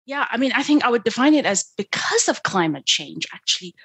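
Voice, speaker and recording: A woman's voice: a little high, sing-song, a little fast, fairly bright tone, slightly rough, dry recording. A middle-aged woman from Ethiopia speaking as an interview guest, earnest and emphatic, often checking in with "right?" and "you know". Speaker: guest, development finance expert. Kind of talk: interview conversation.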